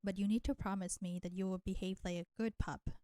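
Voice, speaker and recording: A woman's voice: mid-range voice, fairly even intonation, warm tone, no room sound, natural voice. A woman voicing a flirty, nurturing vampire in an intimate roleplay audio drama, teasing and coaxing the listener. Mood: tender, coaxing. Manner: slow, teasing, coaxing, addressing listener with pet names. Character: flirty, teasing, nurturing female vampire, ancient enemy turned caretaker.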